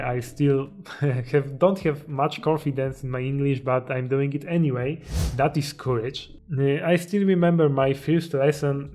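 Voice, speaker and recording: A man's voice: medium pitch, a little mellow. A young man with a Polish accent, a native Polish speaker, giving a motivational explainer with slightly hesitant, non-native phrasing over background music. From Poland. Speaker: self-improvement video creator.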